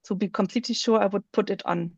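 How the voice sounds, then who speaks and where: A woman presenting on a video call, slowly walking through a software feature while she shows her screen. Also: medium pitch, steady tone, breathy edge, dry recording, highs cut off. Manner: slow, explanatory, thinks aloud while showing her screen. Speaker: webinar presenter.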